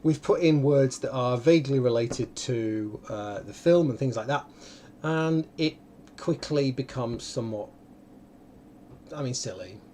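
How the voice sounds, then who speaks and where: A man hosting a casual online game stream, bantering in a chatty, animated and playful way. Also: mid-range voice, a little hiss or hum. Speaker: host of a livestream. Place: indoors, on an online video call.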